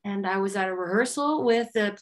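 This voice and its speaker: A woman's voice: mid-range voice, a little fast. A middle-aged woman from Maryland, a singer and yoga teacher, chatting animatedly over a video call from her home. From Maryland, USA. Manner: animated storyteller, lively bursts of energy. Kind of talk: casual video-call interview. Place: home room.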